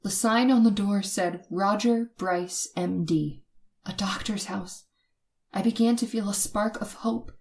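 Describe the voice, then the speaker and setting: An actress playing a frightened young woman held captive by a killer, telling her story in a suspenseful old-time radio horror drama. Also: medium pitch. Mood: tense narration. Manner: breathy dramatic suspense, hushed and halting when afraid.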